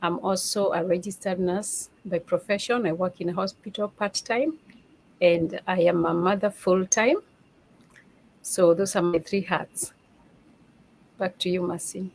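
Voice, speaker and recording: A woman introducing herself on an online panel in a brisk, friendly way. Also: fairly low pitch, fairly even intonation, a little mellow, rough recording. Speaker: panel guest, nurse and mother. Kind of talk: casual panel talk.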